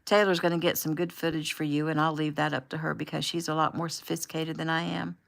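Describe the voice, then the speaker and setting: A woman's voice: lowish voice, fairly even intonation, fairly quick, a bit bright. A woman voicing an earnest young man speaking to his online followers in an encouraging, easygoing way. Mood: friendly. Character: earnest young man, friendly social media personality.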